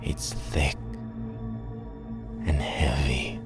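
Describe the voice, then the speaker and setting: A man narrating a scripted Halloween horror radio drama in a dramatic, ominous manner with measured phrasing. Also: a bass voice, level tone. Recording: loud scary music behind. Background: loud scary music.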